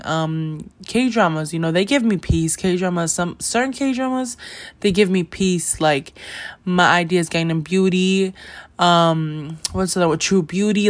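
A teenage girl hosting her own podcast in a casual, rambling chat, full of "like" and "you know" and frequent giggles. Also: on the low side. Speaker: podcast host.

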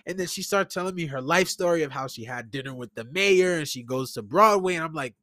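A man with a Brooklyn accent and a slightly high voice talks solo in a casual, slangy way. He rants and tells stories with animation, like a podcast host chatting to listeners. The sound is close and dry. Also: fairly quick, dry recording. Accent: Brooklyn New York. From New York City (Brooklyn). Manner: animated, informal, slangy, acts out conversations. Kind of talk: casual solo rant and storytelling.

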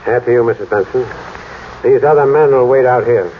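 A man's voice: medium pitch, fairly quick, natural voice. A man playing a firm, businesslike police sergeant. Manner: steady, businesslike. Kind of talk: 1940s radio acting. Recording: muffled old radio recording with faint hiss.

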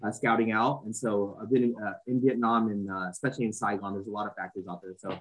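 A man's voice: lowish voice, fairly even intonation, quick pace, low-quality recording. A man in his forties, raised in Southern California, talking loosely as a podcast guest with lots of fillers and restarts. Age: 40s. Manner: rambling, many fillers and restarts. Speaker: podcast guest, fashion entrepreneur. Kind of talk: casual interview chat.

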